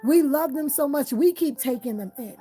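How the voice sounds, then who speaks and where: A woman's voice: high-pitched, expressive, moderate pace, warm tone, faint music behind. A woman hosting a live tarot reading. She talks earnestly and encouragingly, repeats her phrases and often checks in with "okay?" and "you understand?".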